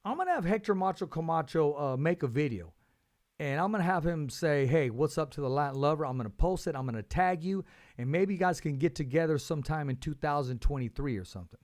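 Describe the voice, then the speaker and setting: A man's voice: fairly high pitch, animated, fast talker, darkish tone. A man hosting a boxing interview show, talking with enthusiastic, hype-driven energy and frequent restarts. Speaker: show host. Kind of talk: casual interview chat.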